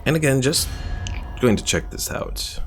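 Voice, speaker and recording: A man's voice: medium pitch, animated, moderate pace, over music. A man streaming a game with casual live commentary, thinking aloud as he plays. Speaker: gaming streamer.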